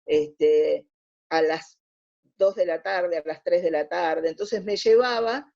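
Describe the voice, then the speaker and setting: A woman in her sixties, speaking with a Buenos Aires accent, heard through dull, lo-fi audio. She recounts memories as an interview guest in an expressive, conversational way, often pausing to search for the next phrase. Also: lowish voice, creaky. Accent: Buenos Aires Spanish. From Argentina.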